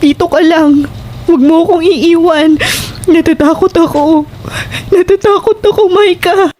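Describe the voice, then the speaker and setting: A woman's voice: extremely high pitch, steady tone, measured pace, darkish tone. A woman playing a frightened, guilt-ridden young nightclub worker in a Tagalog horror audio drama, distraught and pleading. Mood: shaken, pleading. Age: young adult. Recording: noticeable background noise.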